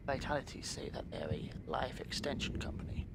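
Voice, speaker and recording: An actor playing a nervous, secretive company worker turned whistleblower in a sci-fi audio story, speaking in a furtive, hushed, creaky whisper. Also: mid-range voice, sing-song, heavy background noise. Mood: secretive, urgent. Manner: slow, furtive, conspiratorial. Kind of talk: scripted sci-fi audio story, one-sided roleplay dialogue.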